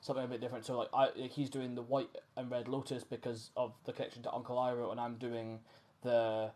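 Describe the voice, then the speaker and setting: A man's voice: mid-range voice, flat delivery, quick pace, a little mellow. A Scottish man hosting a casual live-streamed fan quiz, chatting and reading out questions. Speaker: quiz host.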